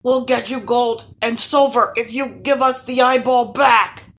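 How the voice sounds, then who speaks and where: A woman's voice: fairly high pitch, fairly even intonation, unhurried, fairly bright tone, pitched above the performer's own voice. A woman voicing a cowardly giant male troll, whimpering and wailing in a storybook tale. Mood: pleading, frightened.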